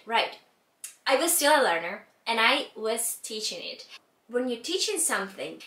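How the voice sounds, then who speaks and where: A woman's voice: a little high, a bit bright, far from the mic. A young woman in her twenties with a Ukrainian accent, talking to camera about learning languages and gesturing expressively with her hands. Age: 20s. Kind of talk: scripted talk to camera. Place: indoor room with a rug backdrop. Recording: roomy echo, voice a little off-mic.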